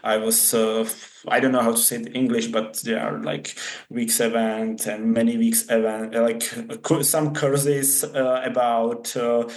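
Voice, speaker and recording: A man from the Czech Republic with a Czech accent, a guest athlete and coach answering interview questions in halting English, searching for words. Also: mid-range voice, flat delivery, reverberant.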